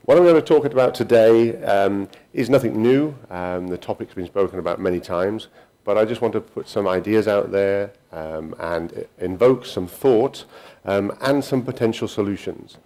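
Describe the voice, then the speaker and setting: A middle-aged man giving a technical conference talk in a hall, pausing often with ums and restarting sentences. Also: fairly low pitch, mellow. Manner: halting, many ums and restarts. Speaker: conference speaker.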